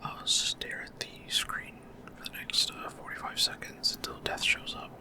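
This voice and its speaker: A man giving casual ASMR video game commentary in a breathy, unvoiced whisper, explaining things without hurry. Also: unhurried. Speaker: gaming ASMR creator.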